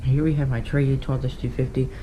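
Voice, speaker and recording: A man talks casually through a hobby collection in an offhand, rambling way, correcting himself as he goes. His pitch sits in the middle for a man. The camera audio is clearly noisy, with small clicks. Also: flat delivery, moderate pace. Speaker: hobbyist showing a radio collection. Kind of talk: casual unscripted show-and-tell.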